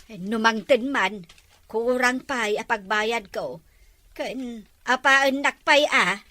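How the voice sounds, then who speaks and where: A woman's voice: a little high, slow pace, dry recording. A woman with an Ilocano accent playing a village woman who owes money, chatting with her neighbor in easygoing banter in a comic drama. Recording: loud background music. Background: loud music.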